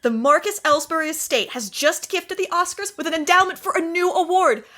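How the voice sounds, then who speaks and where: Woman as a very high, high-strung agent. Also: a little fast, bright, forceful tone. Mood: concerned, eager. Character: exasperated, anxious, high-strung female teammate. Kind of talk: audio comedy drama acting.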